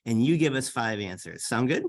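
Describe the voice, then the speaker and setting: A man's voice: mid-range voice, expressive, moderate pace. A middle-aged man hosting an interview over a video call from an office, upbeat and enthusiastic. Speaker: host, graduate student coordinator. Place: office with bookshelves.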